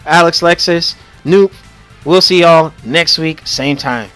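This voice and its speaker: Haitian-accented man, podcast host, fairly high voice, loud joking ramble, faint music underneath. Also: slow, low-quality recording. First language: Haitian Creole or French. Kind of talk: casual gaming podcast chat.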